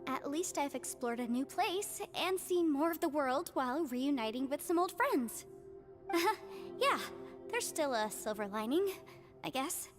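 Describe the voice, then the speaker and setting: An earnest, high-voiced young heroine. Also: female voice, lively intonation, unhurried, over music. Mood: wistful, hopeful. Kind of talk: video game voice acting.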